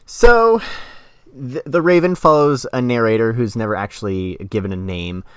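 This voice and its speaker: A man's voice: medium pitch, normal speed, smooth, no echo, clean studio sound. A man hosting a podcast, explaining literature in a casual, wordy way with informal asides. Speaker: podcast host.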